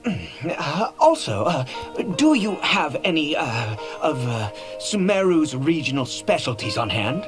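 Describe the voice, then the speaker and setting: An ancient, desperate nobleman in a fantasy video game. He speaks slowly and haltingly, lurching erratically between brooding and giddy, his pitch swooping widely. Faint music plays underneath. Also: very animated. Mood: eager, fumbling. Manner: theatrical, halting, erratic swings between whispers and outbursts. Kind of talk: dramatic video game voice acting.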